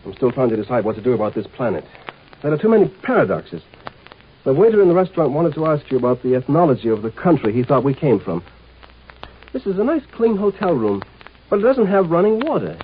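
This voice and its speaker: A scornful, domineering military commander in a vintage science fiction radio play. His fairly high voice swings widely as he blusters. The old recording is thin and tinny. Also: sing-song, fast talker, slight background noise. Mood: irritated. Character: gruff, scornful galactic Grand Marshal, self-made career soldier. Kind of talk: 1950s radio drama acting. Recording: tinny, band-limited old radio recording, faint music.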